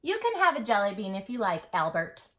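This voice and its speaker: Storybook older brother played by a woman: young boy, possessive, indignant, bossy, swooping pitch. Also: wildly swooping pitch, brisk, fairly bright tone. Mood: grudgingly generous.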